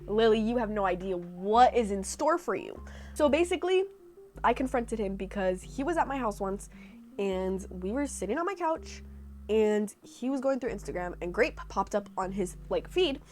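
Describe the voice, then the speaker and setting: A young woman from California telling stories in a chatty, animated way with sarcastic asides, reacting to her old posts. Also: medium pitch, moderate pace, faint music behind. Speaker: vlogger. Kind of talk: casual reaction vlog storytelling.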